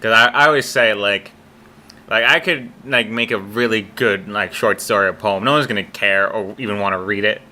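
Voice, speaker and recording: A man's voice: moderate pace, bright, forceful tone. A teenage boy hosting a casual podcast chat, talking with many restarts and fillers. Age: teens. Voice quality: naturally deep voice. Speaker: podcast host.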